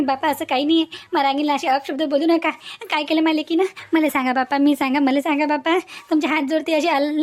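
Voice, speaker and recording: High-voiced pleading woman as mother, Vidarbha accent. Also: a little mellow, lightly acted. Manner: pleading, repetitive. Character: anxious, pleading mother defending her daughter. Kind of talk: cartoon family melodrama.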